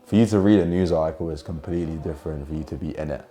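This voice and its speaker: A man's voice: rumbling low, rapid-fire, dark tone. A twenty-year-old man from Jordan and the UK gives earnest, heartfelt, reflective personal testimony. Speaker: documentary subject telling his family's story.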